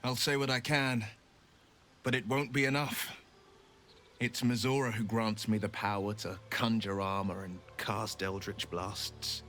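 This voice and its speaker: Earnest, noble warlock hero. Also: male voice, on the low side, steady tone, measured pace, a bit bright. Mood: solemn, earnest. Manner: solemn, eloquent, theatrical. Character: horned, pact-bound warlock hero, noble, earnest young man. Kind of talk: dramatic video game acting.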